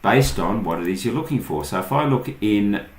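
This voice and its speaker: A man's voice: on the low side, expressive, moderate pace. An Australian man giving a casual, unscripted screen-share tutorial, thinking aloud in short pieces as he goes. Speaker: online tutorial host. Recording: roomy, echoing voice recording.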